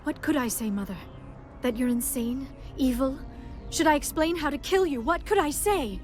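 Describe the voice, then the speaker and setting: An actress playing an earnest, proper young alien scholar in a video game cutscene. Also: female voice, high-pitched, normal speed, lightly acted. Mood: anguished, bitter. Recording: game music behind the voice.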